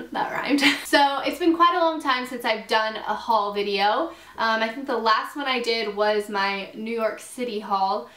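A fairly high-voiced young woman talks through a casual haul video, upbeat and chatty with plenty of "like" and "um". She is still getting over a cold. Her voice rings a little in an echoey small bedroom. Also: a little slow, fairly bright tone. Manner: chatty, upbeat, lots of fillers and "like". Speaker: fashion vlogger. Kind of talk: casual haul vlog to camera.

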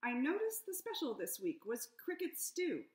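A woman's voice: high voice, moderate pace. Middle-aged woman reading a storybook aloud. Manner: expressive, playful detective-story telling. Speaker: author reading her book. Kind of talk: storybook read-aloud narration. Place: home room with high ceiling. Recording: echoey room.

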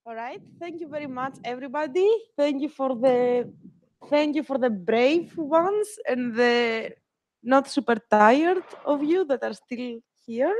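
A young woman from Greece gives a web development lecture over a video call. Her voice sits high, and the sound is close and dry with no room ring. She talks in a friendly way, pausing on fillers. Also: darkish tone, no room sound, highs cut off. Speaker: guest lecturer. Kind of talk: live online lecture.

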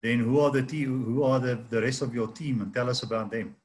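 A middle-aged man hosting a video call interview from a home office, asking questions in a relaxed, conversational way. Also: medium pitch, fairly quick. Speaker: host of virtual trade fair. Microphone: laptop mic on video call. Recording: video call with faint background noise.

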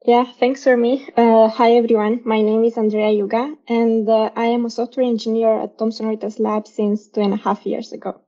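Young woman from romania sharing her career journey, soft mellow voice, muffled call audio. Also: a little high, level tone, normal speed, hardly any pauses. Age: young adult. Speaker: software engineer. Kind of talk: personal career story talk.

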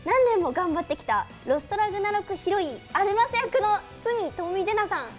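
A young adult woman co-hosting a game radio show, animated and giggly, with bubbly presenting and banter. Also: very high-pitched, brisk, soft background music. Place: small bright room with a table, indoors.

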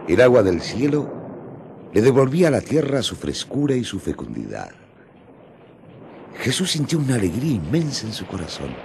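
A man's voice: on the low side, creaky, darkish tone, slight background noise. A man narrating a radio drama in a solemn, theatrical storytelling manner. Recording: music under the narration.

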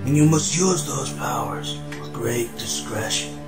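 A man's voice: mid-range voice, a little slow, close to an everyday voice. A man playing a solemn alien father in a comic dub, speaking with grandiose, portentous manner. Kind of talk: parody of epic film speech, comic dub. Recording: echoing voice over loud music.

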